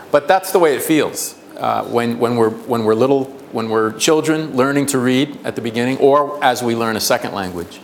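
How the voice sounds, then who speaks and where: An American man gives a presentation at a conference at a middle pitch, restarting phrases and repeating words as he explains. Faint hiss sits under his voice. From United States. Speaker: conference speaker. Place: conference hall.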